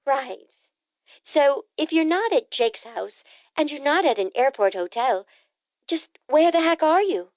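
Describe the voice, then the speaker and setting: A woman voicing a brisk, teasing sister. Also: high-pitched, expressive, dry recording, muffled recording, voice pushed up. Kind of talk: audiobook character voice.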